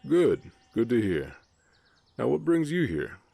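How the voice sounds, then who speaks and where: A man voicing a big, gentle, guarded orc ranch hand with a Southern US accent, speaking politely and haltingly in an intimate Western ASMR roleplay. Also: medium pitch, wildly swooping pitch, vocal fry, slightly rough, no room sound, heavily acted. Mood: friendly, polite. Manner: halting, polite, soft-hearted drawl with folksy turns.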